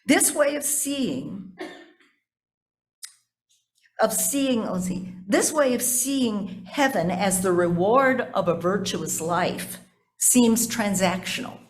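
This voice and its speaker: A woman with a fairly low voice delivers a sermon as a pastor. She phrases things deliberately and repeats for emphasis, turning at times to speak conversationally to her congregation.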